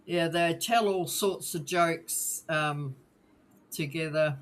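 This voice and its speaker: A woman's voice: deep voice, slow, reverberant. Laid-back New Zealand woman, mixed accent. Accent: mixed Scottish, Australian and New Zealand. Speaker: podcast co-host. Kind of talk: casual live podcast chat.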